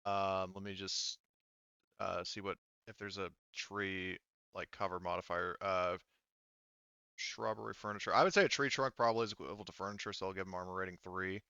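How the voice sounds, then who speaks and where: A man's voice: low-pitched, fairly even intonation, brisk, gravelly, pushed and bright, clean tone, no echo, dull audio. A man casually refereeing a tabletop game as game master, thinking aloud in an informal way.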